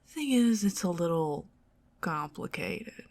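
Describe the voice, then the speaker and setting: A woman with a Southern US accent voicing a possessive, scheming goblin queen in a soft, teasing ASMR whisper. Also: on the low side, expressive, slow pace. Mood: coaxing whisper. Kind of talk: ASMR roleplay.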